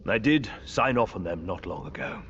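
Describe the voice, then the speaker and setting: A man's voice: low voice, big pitch swings, normal speed, fairly bright tone. An actor playing a prickly, pedantic maester in a video game, haughty and defensive in his speech. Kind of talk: video game cutscene acting. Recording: dull audio with faint ambient noise.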